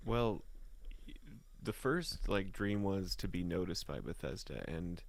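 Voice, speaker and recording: A man's voice: deep voice, lively intonation, takes it slowly, gravelly. A man who works as a musician and voice actor, talking casually and reflectively as an interview guest.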